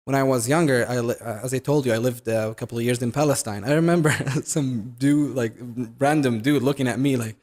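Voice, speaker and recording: A young man from the Middle East, a native Arabic speaker, chatting casually as a YouTube host. He talks in quick bursts, restarting his sentences and filling gaps with "uh" and "like." His voice sits at a middling pitch. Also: steady tone, darkish tone. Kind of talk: casual chat.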